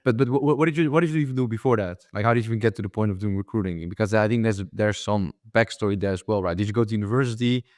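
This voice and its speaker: A man's voice: on the low side, racing, hardly any pauses, no room sound, clean studio sound. A young man who grew up in the Netherlands, hosting a casual interview podcast, with loose talk full of repeated words and "bro". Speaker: podcast host.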